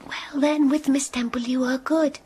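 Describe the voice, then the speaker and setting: An actress voicing a defiant, passionate young schoolgirl in a radio drama, earnest and quietly indignant. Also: sits high, fairly even intonation, moderate pace, a little hiss or hum, close to an everyday voice. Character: defiant passionate young girl pupil Jane. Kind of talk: radio drama acting with narration.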